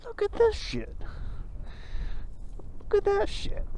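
A man's voice: extremely high pitch, sing-song, slow, creaky, mellow. A young man on a motorcycle, joking and swearing as he talks through a ride on a mountain road, recorded by a helmet camera. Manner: profane, joking, rambling. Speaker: motovlogger. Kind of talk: casual helmet-cam commentary while riding. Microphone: helmet camera mic. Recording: wind and engine noise behind.

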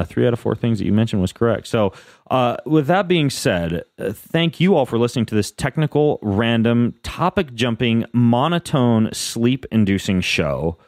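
A man hosting a podcast in casual chat, rambling through lists with a fairly even, monotone delivery. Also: medium pitch, no echo, studio quality. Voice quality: self-described monotone. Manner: rambling, lists things off, frequent fillers and restarts. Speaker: podcast host.

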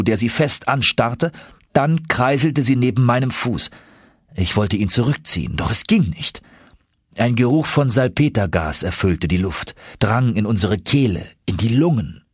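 A man narrating an audiobook story in an expressive, suspenseful, dramatic manner. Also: mid-range voice, husky, dry recording, studio quality.

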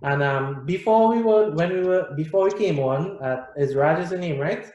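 A man's voice: fairly high pitch, normal speed, lo-fi sound. A middle-aged man with a Trinidadian accent, talking casually and animatedly as a guest on a video call. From Trinidad and Tobago. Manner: animated, gestures with hands while explaining. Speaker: guest musician. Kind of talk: casual interview talk. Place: small room indoors. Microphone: video call webcam. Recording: echoey room on video call.

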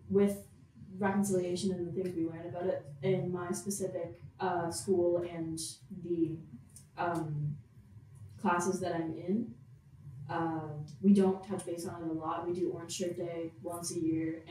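A woman's voice: lowish voice, flat delivery, a little slow, mellow. A teenage girl from Nova Scotia with a Canadian accent, talking in a rambling, casual way as a podcast co-host. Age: teens. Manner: rambling, frequent "like". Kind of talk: casual discussion. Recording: very echoey room, distant mic, faint noise.

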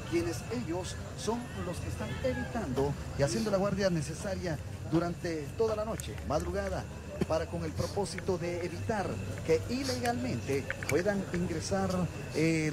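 A man's voice: fairly high pitch, background noise. A man reporting live from outdoors at night, hesitating often with filler sounds. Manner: halting, many "eh" fillers. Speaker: field reporter. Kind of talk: live field report and interview. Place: outdoors at a border town at night.

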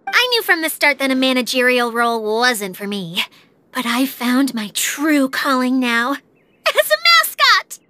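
A bubbly young girl character from a video game. Her very high, bright voice is perky and theatrical, with lively swings. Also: unhurried, exaggerated voice. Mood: playful.

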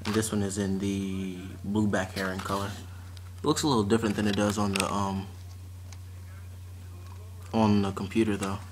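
A young man with a fairly low voice talks in an offhand, halting way, like a casual unboxing vlog. The recording is very noisy, made at home on a camera mic. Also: little pitch movement. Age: teens to young adult. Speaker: vlogger showing fishing tackle. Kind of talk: casual unscripted unboxing chat. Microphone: camera or phone mic at arm's length. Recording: noisy amateur recording with clicks and rustling.

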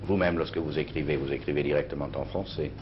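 Very deep-voiced radio interviewer, old recording. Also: male voice, fairly even intonation. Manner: measured, polite questioning. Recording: dull old radio archive with faint hiss.

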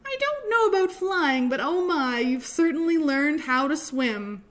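A woman voicing a gentle, reassuring mother penguin in a storybook, tender and coaxing. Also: sits high, expressive, a little slow, voice pushed up. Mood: amused, proud. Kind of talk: children's storybook read aloud.

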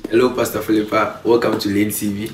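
Young male host, low and distant. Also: level tone, fast, a little hiss or hum. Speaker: channel host. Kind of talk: scripted show introduction. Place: living room, on a couch. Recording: distant, roomy, faint music.

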